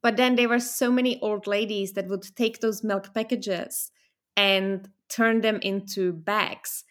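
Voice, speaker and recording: A woman's voice: medium pitch, steady tone, a little slow. A woman in her thirties from the Czech Republic, a guest in a casual interview, telling stories thoughtfully with some restarts. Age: 30s.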